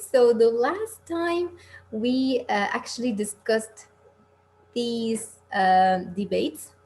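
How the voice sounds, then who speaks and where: Woman, English teacher from Egypt, medium-pitched, informal, encouraging, reassuring, online class, slight background noise and hum. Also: a little mellow.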